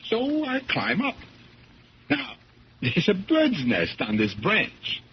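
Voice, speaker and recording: A good-natured, talkative fisherman husband in 1970s radio drama style. His voice is fairly high and bouncy, full of colloquial rambling. The sound is muffled and slightly noisy. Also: wildly swooping pitch, slow, full character voice. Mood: chatty, animated. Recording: dull, noisy old radio recording.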